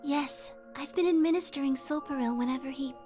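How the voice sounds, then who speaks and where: A high-pitched, gentle young caretaker played by a woman in a video game. She is composed, dutiful and precise, explaining things politely over faint music. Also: fairly quick, lightly acted. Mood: calm, informative.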